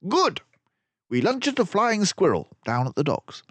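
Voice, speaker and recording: Storybook detective mouse, male reader acting: raised pitch, swooping delivery, confident schemer with courtly manners. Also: wildly swooping pitch, measured pace, a bit bright, dry recording, heavily acted. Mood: decisive. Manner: theatrical, self-assured, mannered turns of phrase.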